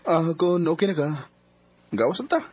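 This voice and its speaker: A man's voice: on the high side, big pitch swings, normal speed, darkish tone, breathy edge. A man with a Cebuano accent acting a trusting, questioning young boyfriend who can turn suspicious, in a radio drama. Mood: curious. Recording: faint background noise.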